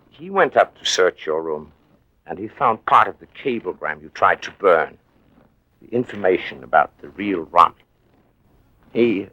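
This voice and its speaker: Low, bright-edged radio villain. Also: male voice, dry recording, full character voice, poor audio. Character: scheming male villain, smooth talker turned threatening. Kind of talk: late-1940s radio drama acting.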